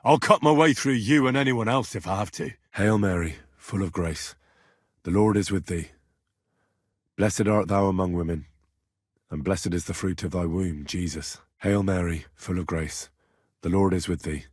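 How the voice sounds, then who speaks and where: A man's voice: low-pitched, normal speed, dry recording, clean studio sound. A man voicing a stubborn, cheeky young medieval man in a video game, with blunt, earthy, conversational delivery. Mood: hushed, prayerful. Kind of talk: video game character dialogue.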